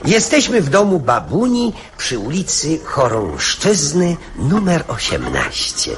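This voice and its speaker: An actor playing an excitable, charming spendthrift uncle in a radio drama, coaxing and theatrical. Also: male voice, a little high, a little slow, slight background noise, exaggerated voice. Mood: solemn, announcing. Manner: theatrical, coaxing, hesitant lead-ins.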